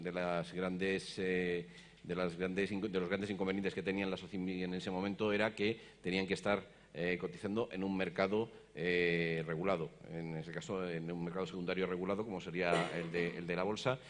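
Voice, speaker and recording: Deep-voiced middle-aged man moderating a panel. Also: little pitch movement, brisk. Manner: hesitant, many repeated words and fillers. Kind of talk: explanatory panel talk. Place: conference stage, seated in an armchair.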